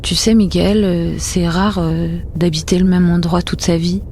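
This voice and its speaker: Lowish female voice, uneasy guarded mother, subdued, hesitant, naturalistic radio drama. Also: flat delivery, measured pace, darkish tone, slight background noise. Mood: soothing, evasive. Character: uneasy, guarded young mother leaving home with her child.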